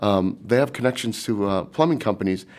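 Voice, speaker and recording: A man's voice: fairly low pitch, brisk, a little mellow. A man from Boston with a light Boston accent, answering interview questions in a rambling way, with many fillers and restarts. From Boston, USA. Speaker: startup team member. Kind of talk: casual interview talk.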